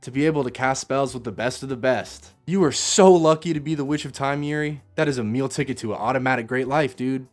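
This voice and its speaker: A man playing a goofy, excitable young half-elf receptionist in an audio fantasy drama, chummy and slangy. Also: mid-range voice, fairly quick, few pauses, faint music behind, lightly acted. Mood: awed, envious.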